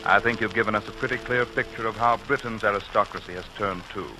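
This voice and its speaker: A man's voice: on the low side, steady tone, quick pace, pushed and bright. A man presenting on 1940s radio, making formal introductions in an announcer's style. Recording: faintly noisy 1940s radio recording.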